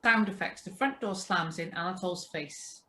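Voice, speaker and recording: A woman's voice: fairly low pitch, moderate pace, bright, forceful tone. A woman reading stage directions and sound cues from a script in clipped announcements.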